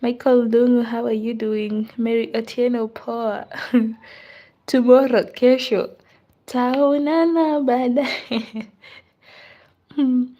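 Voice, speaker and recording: A tired, unwell woman in a casual live stream, greeting viewers and chatting softly with frequent laughs, sometimes dropping to a whisper. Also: a little high, fairly even intonation, slow, pauses often, lo-fi sound. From Kenya. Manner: soft-spoken, laughs often, drifts into whispers. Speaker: livestreamer.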